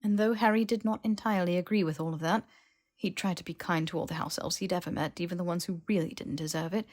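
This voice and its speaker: Lowish-voiced woman narrating fiction. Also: a little mellow. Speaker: audiobook narrator. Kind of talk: expressive scripted fiction narration.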